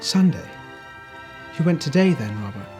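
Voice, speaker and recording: A tender, grieving mother, played by a male reader, speaking gently and sadly. The voice sits a little high for a man, in a storybook telling with faint music. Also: big pitch swings, normal speed. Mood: gentle. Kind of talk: storybook reading.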